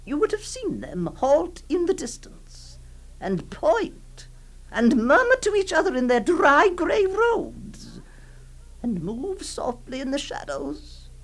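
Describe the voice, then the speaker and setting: A clingy, possessive aunt, played by a woman, speaks in a very high, sing-song voice that swoops up and down. She lingers slowly over her words, hushed and tremulous, pleading with the little girl in her care. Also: big pitch swings, heavily acted. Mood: ominous. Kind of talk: dramatic monologue reading.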